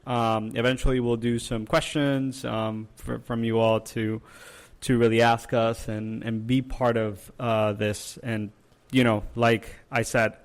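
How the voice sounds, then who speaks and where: A young man from Puerto Rico whose first language is Spanish, co-hosting a therapists' podcast in a casual, halting way with frequent "um" and repeated words. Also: medium pitch, flat delivery. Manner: hesitant, many "um" and "uh", repeats words. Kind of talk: casual chat.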